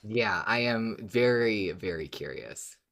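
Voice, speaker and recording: A woman with an exceptionally low voice co-hosts a podcast. She chats casually and reacts conversationally to what others have said. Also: lively intonation, slow pace, a bit bright. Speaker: podcast co-host.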